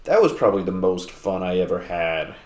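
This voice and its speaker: A man with a deep, low voice rambles through relaxed gameplay commentary, full of fillers and half-finished restarts. He sits some distance from the mic in a house, so his slightly raised voice comes through with a roomy echo. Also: a little fast. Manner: talking louder than normal to make up for mic distance. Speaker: gameplay video host. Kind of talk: casual gaming commentary. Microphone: sitting at a distance from the mic.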